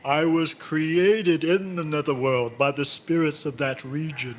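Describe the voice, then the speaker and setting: A man's voice: on the high side, fairly even intonation, measured pace, slight background noise, close to an everyday voice. A man playing a weary, sorrowful Grim Reaper in a radio drama, speaking in mournful, archaic, theatrical phrases. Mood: gloomy, weary. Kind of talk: old-time radio drama acting.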